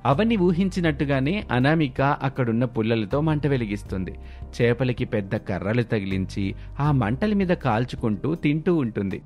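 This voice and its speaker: A man works as narrator of a scripted moral tale. His voice sits at a middle pitch, and music plays behind the narration. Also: animated, moderate pace, darkish tone.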